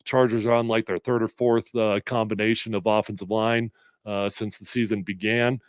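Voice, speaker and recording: Congested man talking sports, smooth and low. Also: moderate pace, no room sound, studio quality. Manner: energetic, conversational, emphatic with fillers. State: a little congested. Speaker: sports radio host previewing a game. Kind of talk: casual solo sports talk with live comments.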